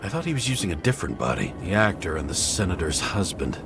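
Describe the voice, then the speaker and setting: A man's voice: low-pitched, very animated, quick pace, heavy background noise. An actor playing a weary, cynical middle-aged detective in a noir game, dryly and sardonically muttering his deductions. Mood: musing, analytical. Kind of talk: noir game voice acting, investigative monologue.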